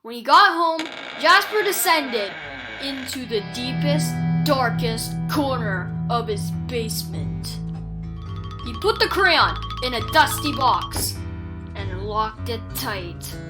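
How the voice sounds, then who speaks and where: Lady with a high voice reading a creepy kids book, slow and dramatic, music playing behind. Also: lively intonation. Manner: theatrical, suspenseful, with deliberate pauses. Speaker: children's storybook reader. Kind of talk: dramatic read-aloud narration of a spooky picture book.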